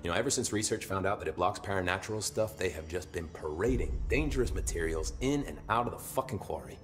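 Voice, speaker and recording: Gruff security guard, game character, deep voice, casual, sardonic, music behind. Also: male voice, lively intonation, fast talker. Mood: irritated. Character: gruff, wry male security officer, casually grumbling. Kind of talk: video game dialogue acting.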